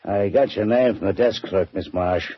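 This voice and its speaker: An actor playing a gruff, suspicious homicide lieutenant in a 1940s radio drama, raspy, curt and bossy. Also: male voice, sits low, moderate pace, slight background noise, close to an everyday voice. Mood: curt, impatient. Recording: muffled vintage 1940s radio recording.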